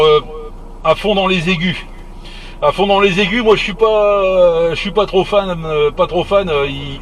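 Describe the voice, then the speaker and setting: A man's voice: a little high, a little hiss or hum. A man chatting casually over CB radio as a hobbyist, searching for words and repeating himself.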